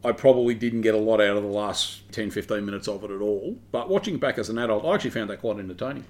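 A man co-hosting a casual podcast, eager and listing examples. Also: fairly low pitch. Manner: rapid, eager, lists examples. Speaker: podcast co-host. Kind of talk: casual chat.

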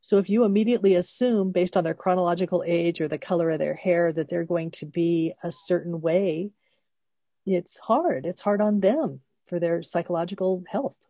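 A woman in her sixties talks through her thoughts in a relaxed, unhurried chat. Her voice sits fairly low and the sound is dry and close, with no room behind her. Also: dry recording. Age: 60s. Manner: thoughtful, explains at length, laughs easily. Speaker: co-host. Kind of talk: casual chat.

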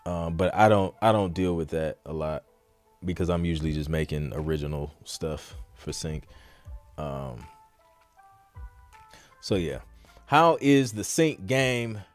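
A man's voice: fairly low pitch, dry recording. A man hosting a casual question-and-answer podcast, talking informally with some slang and thinking aloud as he goes. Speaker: podcast host and music producer answering questions. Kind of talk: casual live Q&A talk.

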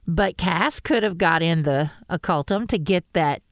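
A woman co-hosts a casual fan podcast, telling stories with lively, rising exclamations. Her voice is smooth and clear, recorded dry and close with no room sound. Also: lowish voice, a little slow, no echo. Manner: chatty, animated storytelling with rising exclamations. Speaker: podcast co-host. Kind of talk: casual fan discussion chat.

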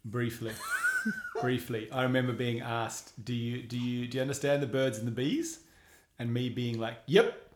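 A man's voice: mid-range voice, moderate pace. Rambling man co-host, echoey room. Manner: rambling, hesitant, self-conscious. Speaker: podcast co-host. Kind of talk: podcast conversation.

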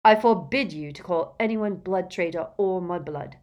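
A teenage boy hero from a storybook , as a woman narrator plays him. He is fierce and impatient, pressing out forceful questions and orders with a commanding manner. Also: medium pitch, expressive, measured pace. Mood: stern. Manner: forceful, demanding, interrogating.

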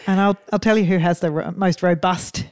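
Smooth, low woman co-hosting a podcast. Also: steady tone, fairly quick, darkish tone, no echo, studio quality. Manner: upbeat, laughs easily. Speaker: podcast co-host. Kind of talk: casual podcast chat. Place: lounge room at home.

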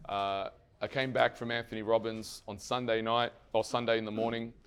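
A man losing his voice gives a lively fitness seminar to trainers and keeps asking the room questions. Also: lowish voice, normal speed, clear, resonant tone. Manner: energetic, asks the audience questions and answers them himself; swears casually. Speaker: fitness coach presenting to trainers. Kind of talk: live seminar presentation.

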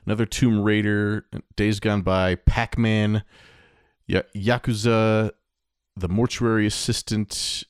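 A man with a lowish voice, chatting casually as a podcast co-host. The recording is dry, with hardly any room sound. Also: steady tone, slow pace, clear, resonant tone, dry recording. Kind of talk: casual geek-culture chat.

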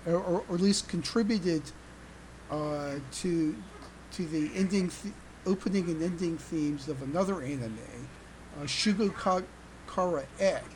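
A podcast host chatting casually in a halting, stammering way, often repeating words. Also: mid-range voice, darkish tone, rough recording. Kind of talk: casual panel discussion. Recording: noticeable background noise.

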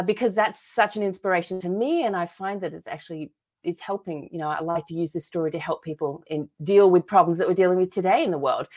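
A woman with an Australian accent, speaking earnestly and emphatically as a guest telling personal stories in a conversational interview. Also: on the low side. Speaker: podcast guest, author and filmmaker.

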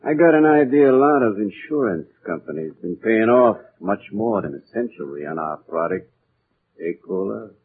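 Sly grave-robbing racketeer, lowish husky voice, slangy and smugly menacing, muffled vintage radio sound. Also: male voice, animated. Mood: slyly threatening. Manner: slangy tough-guy talk, drawn-out insinuations. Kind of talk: 1940s radio acting. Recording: muffled lo-fi 1940s radio recording.

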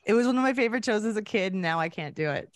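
A woman's voice: mid-range voice, expressive, racing. A woman with a Canadian accent hosting a podcast, chatting casually and conversationally with a guest. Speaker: podcast host. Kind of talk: casual interview chat.

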